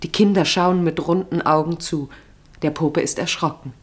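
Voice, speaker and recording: Low-voiced woman narrating a radio play. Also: steady tone, normal speed, slightly rough. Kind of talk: literary radio play narration, present tense.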